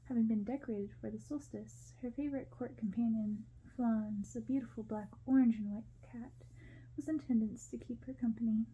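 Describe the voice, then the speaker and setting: A woman narrating a storybook in ASMR style, gentle, hushed and unhurried. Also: on the high side, fairly even intonation, slightly rough, poor audio. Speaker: ASMR creator reading a novel.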